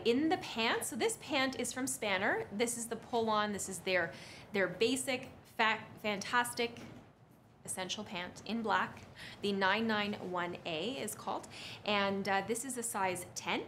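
A woman's voice: medium pitch, measured pace, a little hiss or hum. A woman, presenting fashion on a live sales stream in a chatty, effusive way. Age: 30s. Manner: animated, effusive. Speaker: presenter and model on a fashion shopping livestream. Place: indoor studio with brick wall, standing back from camera.

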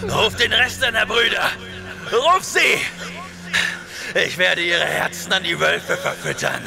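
A man playing a young, wrathful, lustful barbarian warrior in an audio drama, with a gravelly voice. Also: high voice, slow pace, over music, exaggerated voice. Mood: raging, threatening.

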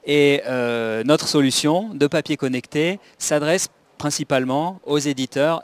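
Guy in his 30s pitching his startup, earnest, lots of ums, handheld mic. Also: unhurried. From Paris. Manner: earnest explainer, many hesitations. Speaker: startup founder guest. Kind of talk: explaining a product in an interview.

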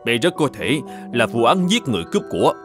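Man acting an authoritative, animated provincial governor. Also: medium pitch, music in the background, lightly acted. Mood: resolute. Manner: authoritative, measured, formal. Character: upright, determined provincial governor and magistrate. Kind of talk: animated folk tale dubbing, formal.

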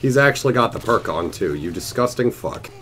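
A man's voice: medium pitch, animated, fairly quick, background noise. A young man in his twenties with a congested, slightly nasal cold voice, chatting casually and swearing over his gameplay from a headset at his bedroom desk. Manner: chatty, swears a lot, bursts out at the game. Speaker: gaming YouTuber. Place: small bedroom gaming desk. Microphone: gaming headset.

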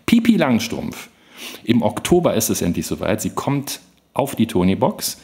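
A man's voice: on the low side, sing-song, normal speed, darkish tone. A man co-hosting a podcast in a casual, chatty style. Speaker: podcast co-host.

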